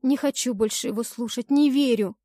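A woman's voice: fairly high pitch, fairly quick, warm tone, dry recording, clean studio sound, lightly acted. A woman voicing a passionate, distraught young woman in a fantasy audiobook, emotional and pleading. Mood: desperate denial. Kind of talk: dramatic fantasy audiobook acting.

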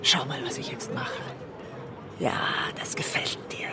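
A woman's voice: unhurried, crowd noise, lightly acted. An actress playing a weary, intense woman lover in a radio drama, speaking intimately and often close to a whisper. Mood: brazen, goading. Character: weary, intense woman lover, narrating and confronting her partner. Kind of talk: radio drama acting and literary narration.

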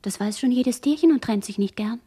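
A woman's voice: a little high, fairly quick, mellow, husky. A woman playing a barely conscious shipwrecked girl in a radio play, speaking in dreamy, fearful, fragmentary poetic phrases. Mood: dreamy, drifting. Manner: fragmentary, incantatory, drifting phrases. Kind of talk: radio play monologue, poetic disjointed speech.

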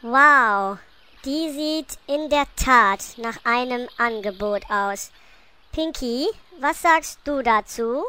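A woman's voice: a little high, slow pace, pushed and bright, clean tone. A woman playing a naive, imperious gnome queen in a comedic fantasy radio play, regal and breezily dismissive. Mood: pleased.

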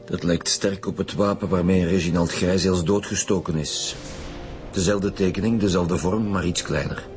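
Man acting a formal police inspector, deep voice, Flemish accent, dry, politely persistent, radio play, light music. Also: steady tone, normal speed, lightly acted. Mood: probing. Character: polite but insistent senior police detective.

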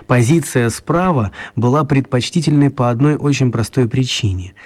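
Guy narrating a kids radio story, mid voice, measured and a bit wry. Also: mid-range voice, darkish tone. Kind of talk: scripted storytelling for a children's radio play.

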